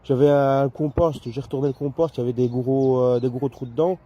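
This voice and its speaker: A man's voice: mid-range voice, fairly even intonation, brisk, highs cut off. A man chatting casually and a little hesitantly as an amateur radio operator, speaking outdoors in a garden. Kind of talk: casual two-way radio chat.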